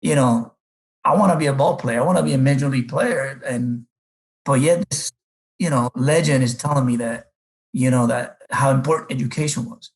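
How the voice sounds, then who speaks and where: A man's voice: medium pitch, moderate pace, low-quality recording. A middle-aged man from the Republic, a baseball coach telling his life story unhurriedly in an interview, with many fillers. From Dominican Republic.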